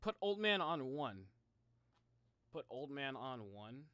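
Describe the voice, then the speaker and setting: A man with a mid-pitched voice talks as a live game streamer. He is informal and halting, addressing viewers and muttering to himself, in a dry, close recording. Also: sing-song, sharp tone, clear, resonant tone, no echo. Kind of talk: casual livestream chat.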